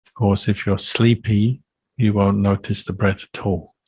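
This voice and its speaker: A man leading a guided meditation as a Buddhist teacher, calm and unhurried, leaving gentle pauses between phrases. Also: on the low side, husky, no room sound, low-quality recording.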